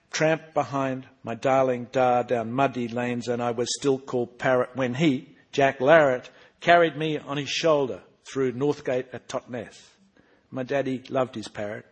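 A man with a husky voice reading aloud as a cheeky, bookish boy narrator, with animated, emphatic storytelling. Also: mid-range voice, pitched above the performer's own voice, natural voice. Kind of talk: reading aloud from his own book.

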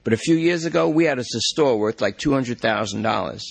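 A man's voice: mid-range voice, lively intonation, a little fast, creaky, breathy edge, voice pushed up. A man doing a whiny, rambling junkie. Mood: pleading. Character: jittery rambling male junkie under arrest.

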